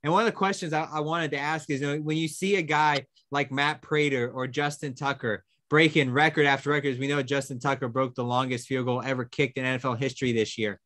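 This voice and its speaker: A man's voice: medium pitch, steady tone, a little fast, hardly any pauses, fairly bright tone, dry recording. A young man hosting a casual question and answer session from a small indoor studio. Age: young adult. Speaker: host.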